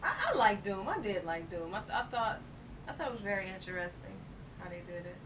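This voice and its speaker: A woman with a mid-pitched voice joins a casual chat with her opinions. She sits some way from the microphone, and clear noise and rumble run through the recording. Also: lively intonation, brisk, far from the mic.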